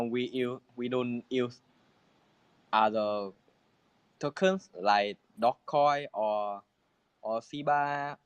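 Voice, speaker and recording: A man from a crypto project answering questions in halting English, restarting his sentences often. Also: mid-range voice, clean tone, no echo, highs cut off. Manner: halting non-native English with many restarts. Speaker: crypto project team member.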